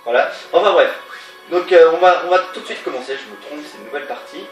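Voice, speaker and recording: A man's voice: a little high, fast talker. A man with a stuffy nose from a cold, giving rambling, hesitant commentary on a game he is playing, with many fillers and restarts. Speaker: let's play gamer. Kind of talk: casual gameplay commentary. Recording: roomy, distant mic, game music behind. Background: game music.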